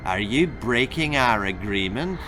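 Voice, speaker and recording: A man's voice: mid-range voice, animated, unhurried, music in the background, natural voice. A man voicing a sly, scruffy little leprechaun with a folksy Irish brogue in a children's story. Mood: menacing.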